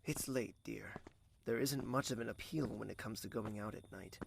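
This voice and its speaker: A man playing a courteous, regal young prince in love in an audio drama, gentle and formal, with the odd French phrase. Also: on the low side, moderate pace, creaky, a little hiss or hum. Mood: reflective, teasing.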